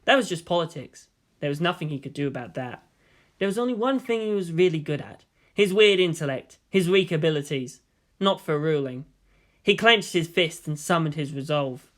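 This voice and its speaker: Casting: male fiction narrator, higher-pitched voice, scripted storytelling. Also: lively intonation, moderate pace, a bit bright. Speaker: audiobook narrator of fan fiction.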